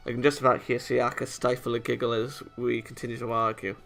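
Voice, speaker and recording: Male let's player, mid-pitched, expressive story reading, visual novel narration, faint music behind. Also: brisk, vocal fry. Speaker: video game let's player.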